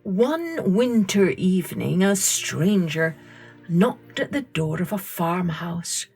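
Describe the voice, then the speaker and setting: A woman narrating scripted stories for children in a measured, deliberate way with pauses. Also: on the low side, very animated, vocal fry, darkish tone, breathy edge, faint music behind. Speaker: podcast host and storyteller.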